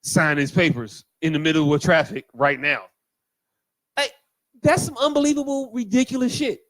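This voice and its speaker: A man co-hosting a podcast in casual chat, animated and profane, riffing and joking. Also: high voice, normal speed, no echo. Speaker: podcast co-host.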